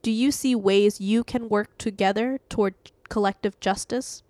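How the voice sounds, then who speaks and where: A woman's voice: medium pitch, fairly even intonation, normal speed, a little mellow, dry recording. A woman hosting and narrating a scripted devotional reading. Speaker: host reading daily devotionals.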